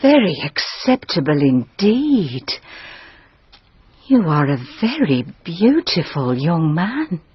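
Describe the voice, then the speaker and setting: Vain desert fairy with swooping voice. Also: female voice, fairly low pitch, sing-song, slow pace, husky, highs cut off, full character voice. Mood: seductive. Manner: coquettish, probing, theatrical. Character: vain, jealous older female desert fairy in love. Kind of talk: audio fairy-tale drama acting.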